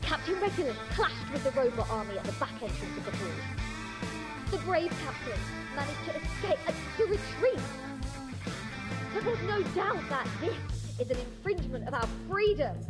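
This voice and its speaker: A young female pirate radio captain from a game. She is high-pitched and very animated, boastful and grand, delivering showy broadcast speeches over loud music. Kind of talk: theatrical broadcast monologue.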